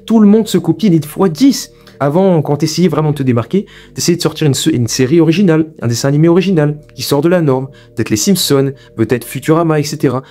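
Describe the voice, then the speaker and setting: A man's voice: a little high, mellow. A young man hosting a video essay, giving informal, opinionated commentary in an emphatic, repetitive, colloquial way.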